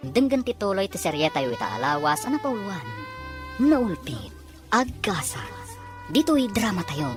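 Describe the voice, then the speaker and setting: A woman's voice: medium pitch, big pitch swings, moderate pace. A woman announcer giving a dramatic scripted introduction to a radio drama, with music behind her.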